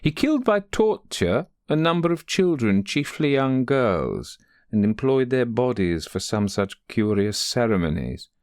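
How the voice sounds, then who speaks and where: Sly, imperious older lady of a gothic tale, acted out by a man: high metallic tone, precise and cold. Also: big pitch swings, unhurried, darkish tone, clear, resonant tone, no echo, studio quality. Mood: cold, measured. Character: domineering, sly, cold older spinster employer.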